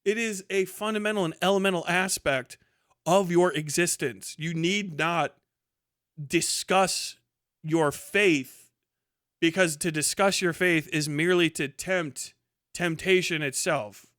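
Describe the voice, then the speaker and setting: A man's voice: high-pitched, measured pace, fairly bright tone, no room sound, clean studio sound. A man delivering a casual, ranting livestream monologue in a colloquial, rambling, sweary way. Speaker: livestream host.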